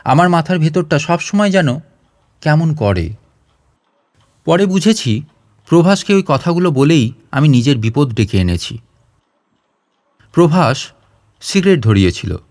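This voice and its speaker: A man's voice: fairly high pitch, animated, a little slow, pauses often, a little mellow, no room sound, studio quality. A man reading a story aloud as a dramatic scripted audiobook narration.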